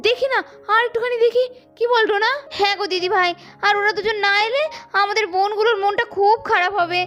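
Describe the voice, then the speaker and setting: A woman's voice: extremely high pitch, fairly even intonation, quick pace, fairly bright tone. A woman voicing an eager, caring young woman doll in a cartoon family story, with a girlish, chiding manner. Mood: worried. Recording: music behind the voice.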